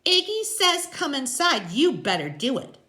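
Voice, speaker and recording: A pushy, squinting biker's woman from an audio novel, put on by a woman reader. She talks high and slangy, needling and excitable, with big swoops in pitch. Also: a little slow, full character voice. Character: squinting, chain-smoking biker's woman, pushy but cowed.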